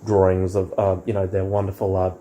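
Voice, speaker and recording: A man's voice: low voice, completely flat delivery, fairly quick, mellow. A man co-hosting a casual chat about music and films, often hesitant and searching for words. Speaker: co-host reviewing music and films.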